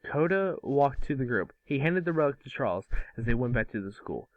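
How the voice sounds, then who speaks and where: A man serves as narrator for an amateur table read. His voice has a middling pitch and is captured dry, with very little room sound. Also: big pitch swings, fairly quick, no room sound, narrow, tinny audio. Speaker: narrator and series co-creator.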